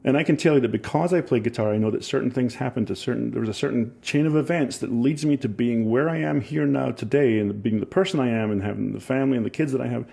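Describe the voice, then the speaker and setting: A man in his forties with a Scottish accent softened by years in Ohio, a musician chatting in an interview and telling rambling, enthusiastic stories with self-mocking laughs. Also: medium pitch, fast, gravelly, hardly any pauses, breathy edge. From Scotland. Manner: rambling, enthusiastic storyteller who laughs at himself. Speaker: musician guest. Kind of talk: casual interview chat.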